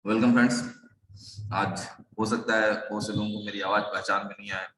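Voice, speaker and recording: A man with a hoarse throat giving a motivational talk on a video call, in rousing, slogan-like phrases. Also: on the low side, level tone, a little fast, fairly bright tone. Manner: rousing, slogan-like phrasing mixing in English words. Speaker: guest speaker, network marketing leader. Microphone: video call microphone. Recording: roomy video call audio with faint noise.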